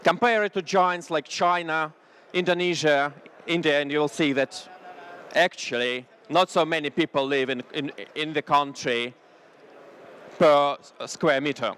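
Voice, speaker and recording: A man's voice: fairly high pitch, steady tone, measured pace, fairly bright tone, a little hiss or hum. A young man with a Russian accent, a business consultant presenting a seminar, explaining in a hesitant way with frequent restarts. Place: conference room.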